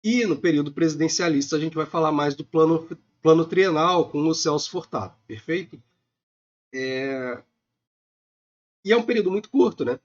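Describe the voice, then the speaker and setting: A male lecturer with a Brazilian accent speaks in a fairly high, slightly hoarse voice, stretching his sentences with filler sounds. The audio is dull and lo-fi, like a home webcam recording. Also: normal speed. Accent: Brazilian Portuguese. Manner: informal, many filler sounds. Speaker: economics lecturer.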